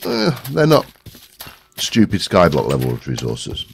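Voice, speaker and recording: A very deep, gravelly man, his voice stuffy with a head cold, rambles through casual gameplay commentary and mutters to himself as he searches. His pitch rises and falls in a lively way, with a faint hiss of noise behind him. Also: unhurried. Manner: rambling, talks to himself while searching, many ums. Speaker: gaming video host.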